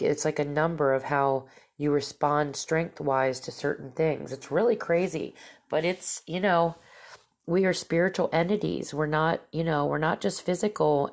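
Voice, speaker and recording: A woman's voice: low-pitched, gravelly, a little mellow. A woman hosting a podcast, casually telling encounter stories in a stuffy, nasal voice from her allergies. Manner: rambling, with fillers and restarts. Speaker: podcast host.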